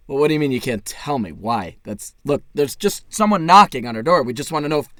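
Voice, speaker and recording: A man plays a young American boyfriend in an audio play, a needy slacker who gets defensive and flustered. His pitch jumps around a lot as he stumbles through casual, halting phrases. The sound is dry, with no room around it. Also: expressive, fairly bright tone, no room sound, close to an everyday voice. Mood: flustered, polite. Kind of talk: naturalistic audio play dialogue.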